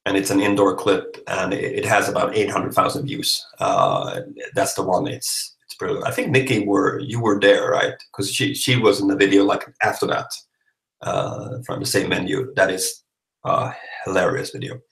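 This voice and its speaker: A man's voice: low voice, expressive, moderate pace, vocal fry, fairly bright tone. A tired Swedish man with a Swedish accent, chatting casually on a livestream and answering comments in a rambling, playful way. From Sweden. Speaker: livestream host. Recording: roomy, echoey livestream microphone.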